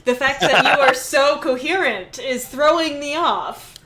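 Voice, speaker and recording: Slow-paced, higher-voiced woman game master. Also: lively intonation, pushed and bright. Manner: playful, joking, laughs readily. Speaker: game master and stream host. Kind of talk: casual livestream chat.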